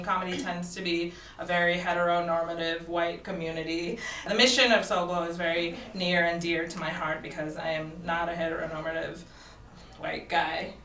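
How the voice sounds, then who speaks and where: Woman from japan, comedian guest, bright voice, laughs a lot, echoey studio w/ mic a bit far. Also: on the low side, slight background noise. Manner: laughs often, chatty and playful. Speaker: comedian and actor, interview guest. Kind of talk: casual interview chat.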